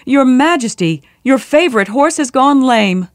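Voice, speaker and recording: Woman doing a panicky storybook palace servant guy, urgent, voice pitched up, dry sound. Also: lively intonation, unhurried, no echo. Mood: alarmed. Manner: urgent, alarmed. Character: anxious male palace officials bringing bad news.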